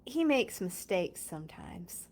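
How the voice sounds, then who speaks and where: Slow, lively woman reading to children. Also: on the low side, vocal fry. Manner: expressive, playful, chatty. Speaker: storyteller reading a picture book aloud. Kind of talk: children's read-along with casual asides.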